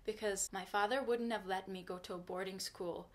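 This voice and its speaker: A woman's voice: mid-range voice, steady tone, normal speed. A young woman from Turkey, a native Turkish speaker, talks straight to the camera in a small bedroom. Her tone is earnest and candid, like she is offering advice. The camera sits a short way in front of her. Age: young adult. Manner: earnest, frank, advice-giving. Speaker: vlogger. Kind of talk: casual talk to camera. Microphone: camera in front at desk distance.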